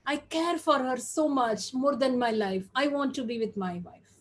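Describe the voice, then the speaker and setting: Woman as a lovesick male fish, high voice, desperate, pining, repetitive pleading, storybook fable. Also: animated, normal speed.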